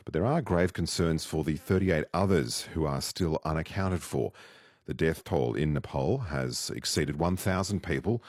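A male news presenter reading a bulletin in a measured, formal way. His voice sits extremely low, and the studio sound is dry and close. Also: no room sound.

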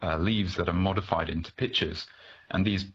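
A man's voice: low-pitched, fairly even intonation, fairly quick, a bit bright, breathy edge. A man from Malaysia speaking as an expert guest, explaining things articulately and conversationally in an interview. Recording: dull, noisy remote guest line.

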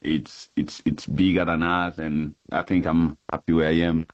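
A man, interviewed on radio as a musician. His voice is very deep, and he talks in a hesitant, friendly way with some stumbles. The sound is slightly dull and lo-fi. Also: little pitch movement, normal speed. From Tanzania. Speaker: musician, guest.

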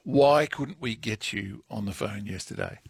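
A man's voice: fairly low pitch, expressive, measured pace, vocal fry, husky, no room sound, clean studio sound. A man from Australia hosting an interview, asking thoughtful questions with frequent stammered restarts. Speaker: radio interviewer.

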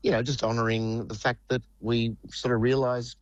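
A middle-aged man, a band's lead singer, talking in a relaxed, rambling way with lots of fillers. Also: mid-range voice, moderate pace, no room sound, lo-fi sound. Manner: relaxed, rambling, many fillers, says "mate". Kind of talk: phone or studio interview.

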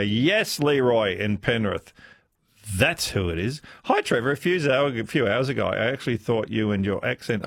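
A man hosting a talkback radio quiz, chatty and playful and quick to laugh, taking callers in a studio. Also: medium pitch, no room sound. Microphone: studio microphone.

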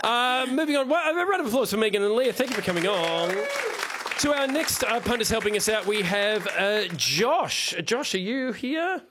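A man hosting a comedy panel quiz with joking, excitable, stammering banter. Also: high voice, moderate pace, a loud crowd, very noisy. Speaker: quiz show host.

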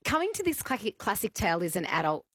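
A woman's voice: mid-range voice, fast talker, a loud crowd. Chatty woman radio host. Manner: lively, animated storytelling with many fillers. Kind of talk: casual radio chat.

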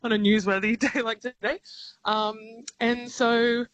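An Australian woman, a singer-songwriter, talking thoughtfully in a radio interview over the phone, laughing often and pausing with ums. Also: on the high side, steady tone, fairly bright tone, no room sound, lo-fi sound. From regional New South Wales, Australia. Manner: thoughtful, giggly, many ums and restarts.

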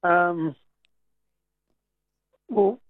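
A man's voice: high-pitched, very slow, dry recording. A man calling in to a radio quiz, hesitant and good-humoured as he guesses. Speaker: caller. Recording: tinny phone line.

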